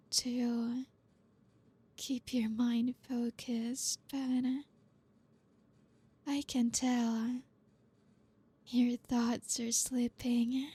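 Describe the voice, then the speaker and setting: A woman playing a flirty, dominant boss in an ASMR roleplay, teasing and commanding in a soft, deliberate, often whispered voice. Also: a little high, husky, exaggerated voice.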